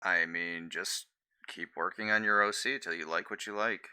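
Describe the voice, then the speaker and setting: A man's voice: deep voice, steady tone, moderate pace, pushed and bright, clear, resonant tone. A young man chatting casually on a live stream, blunt and slangy, swearing easily.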